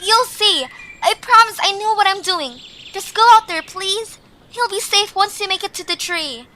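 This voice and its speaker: Very high-pitched plucky little creature, voiced by a woman. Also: normal speed, bright, forceful tone, slight background noise. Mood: reassuring. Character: brave, clever young creature leading frightened friends. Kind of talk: amateur cartoon-style audio drama.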